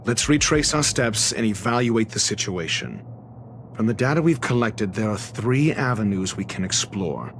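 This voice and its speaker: An actor playing a courteous, cryptic detective in a video game, speaking in a formal, measured way. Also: male voice, on the low side, expressive, a bit bright, slight background noise. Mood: grave, measured. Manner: formal, measured, theatrical wording. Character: composed, eloquent male detective, courteous and cryptic. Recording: music behind the voice.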